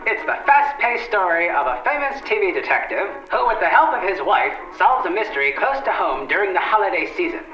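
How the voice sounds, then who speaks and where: Man as dramatic 1940s radio narrator, swooping delivery, highish voice, echoey vintage sound. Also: sing-song, a little fast, few pauses, dull audio. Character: dramatic old-time radio show announcer. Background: loud music.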